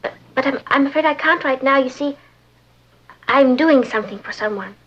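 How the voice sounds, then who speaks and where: A young woman playing a polite, dutiful teenage girl with a genteel, measured manner in a vintage TV sitcom. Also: high voice, dull audio.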